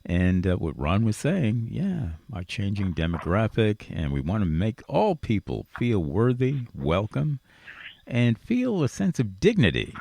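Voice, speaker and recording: A man's voice: fairly low pitch, wildly swooping pitch, measured pace, vocal fry, mellow, no room sound. A man hosting a radio interview show, speaking conversationally and earnestly, with emphatic repetition. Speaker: radio talk show host. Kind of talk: conversational interview, rambling positive monologue.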